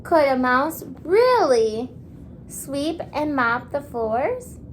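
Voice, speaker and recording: High-voiced woman teacher reading to young children. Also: a little hiss or hum. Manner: expressive, asking questions and pausing as if children answer; encouraging, simple child-directed phrasing. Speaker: kindergarten teacher. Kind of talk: interactive children's read-aloud lesson.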